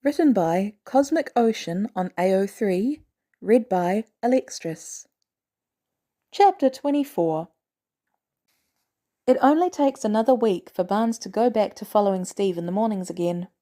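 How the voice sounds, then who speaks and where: A woman reading fiction aloud as an audiobook narrator, in an expressive storytelling style. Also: mid-range voice, measured pace. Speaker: audiobook reader.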